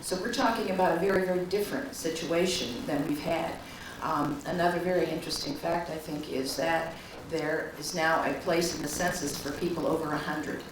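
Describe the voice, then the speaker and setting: Hoarse lady w a cold, talking a bit low, heard from across an echoey room. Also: fairly even intonation, brisk, few pauses. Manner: informative, with frequent ums. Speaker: county office director. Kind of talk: public presentation at a meeting. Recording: echoey room, distant mic, background noise.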